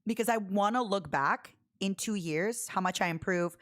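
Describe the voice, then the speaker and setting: A woman's voice: mid-range voice, normal speed, fairly bright tone. A woman from Ukraine with a Russian accent, streaming a casual reaction, giggly and self-deprecating, now and then squealing in delight.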